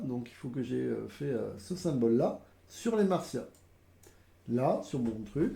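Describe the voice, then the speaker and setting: Man with a cold, casual board game reviewer, gravelly, halting delivery, roomy echo. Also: fairly high pitch, mellow. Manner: hesitant, many fillers, explains step by step. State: has a cold. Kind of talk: casual game explanation to camera.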